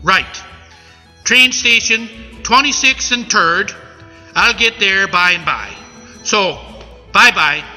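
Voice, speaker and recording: A man plays a melodramatic, bumbling pirate swindler for a radio comedy. His pitch is high and his tone very sharp and bright. It was recorded in a reverberant hall with music behind. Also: lively intonation, takes it slowly, pauses often, heavily acted. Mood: scheming, flustered. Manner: pompous, melodramatic threats, bumbling. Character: blustering villainous pirate swindler with muddled accent. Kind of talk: comic radio play acting.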